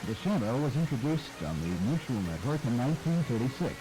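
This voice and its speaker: Male narrator, measured scripted delivery, lively pitch, music behind. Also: poor audio. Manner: measured, articulate storyteller. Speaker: documentary narrator.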